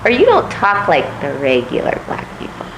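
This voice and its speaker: Low-voiced reflective woman candidate. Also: wildly swooping pitch, creaky, noisy background. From Puerto Rico, raised in Missouri. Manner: measured and reflective, frequent "um" pauses. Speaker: political candidate. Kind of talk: interview answers.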